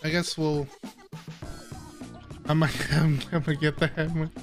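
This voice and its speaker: A man with a fairly high voice commentates casually on a game stream. Video game music plays behind him as he talks. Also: little pitch movement, unhurried.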